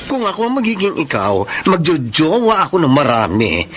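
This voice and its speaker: An actor playing a playful, campy, teasing best friend in a Tagalog radio drama. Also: male voice, on the high side, sing-song, normal speed, pushed and bright, a little hiss or hum.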